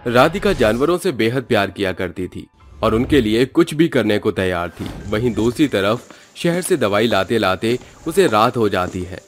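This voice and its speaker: A man's voice: lowish voice, big pitch swings, normal speed, faint music behind. A man narrating a scripted cartoon story as the storyteller.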